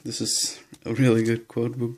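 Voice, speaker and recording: A man's voice: mid-range voice, completely flat delivery, normal speed, darkish tone. A young man with a Danish accent, listing books in a casual bookshelf tour, hesitant and a little nervous. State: nervous and shaky. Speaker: vlogger.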